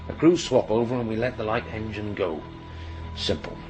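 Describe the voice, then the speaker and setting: An actor playing a gruff, irritable signalman and father in a radio drama, with a brusque, impatient manner. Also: fairly low pitch, moderate pace, a little mellow, faint music behind, close to an everyday voice. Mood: busy, brisk. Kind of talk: naturalistic radio drama acting.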